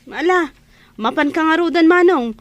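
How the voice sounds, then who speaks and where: A woman's voice: extremely high pitch, normal speed, dry recording. A woman acting a fretful young woman nagging her older brother in a Filipino radio drama, with a Filipino accent. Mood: chiding, concerned.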